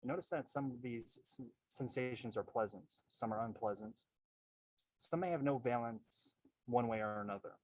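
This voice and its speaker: A man leading a guided meditation as coach and host, calm and measured, with a husky voice and unhurried pauses. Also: medium pitch. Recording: roomy, distant-sounding microphone.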